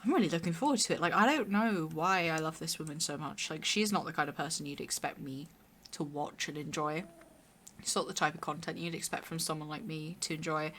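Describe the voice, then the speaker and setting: A young woman with a hoarse, raspy voice, chatting casually and rambling while streaming a game. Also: deep voice, a little fast, fairly bright tone, slight background noise. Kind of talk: casual chat while gaming.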